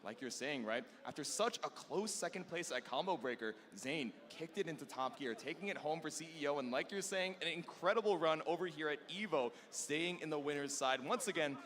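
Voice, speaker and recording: A man's voice: fairly high pitch, animated, brisk, few pauses, a bit bright. A man hosting a live fighting game tournament broadcast as commentator, upbeat and slangy as he hypes up the crowd. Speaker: esports tournament commentator and host. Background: background music.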